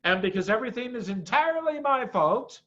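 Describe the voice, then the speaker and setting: A man's voice: very high-pitched, expressive, fairly quick, sharp tone, muffled recording, voice pushed up, full character voice. A man voicing a confident, generous, sly father fox in a children's storybook, with a grand, assured manner. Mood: jubilant, commanding. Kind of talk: storybook character voice.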